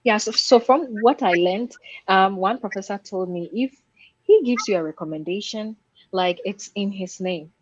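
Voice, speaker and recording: A woman's voice: mid-range voice, moderate pace, dry recording. A woman with a mid-range voice joins a casual panel discussion. She interjects politely and explains her view, on slightly muffled, lo-fi audio with little room sound. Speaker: panel speaker.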